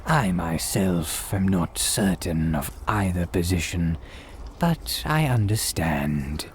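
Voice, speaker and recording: An eerie old graveyard priest in a gothic radio drama. The voice is low and extremely slow, courtly and ominous, sinking at times into whispers. Faint ambient noise sits behind it. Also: male voice, a little mellow, exaggerated voice. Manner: slow, ominous, formal archaic phrasing, dropping into whispers. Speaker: horror tale host.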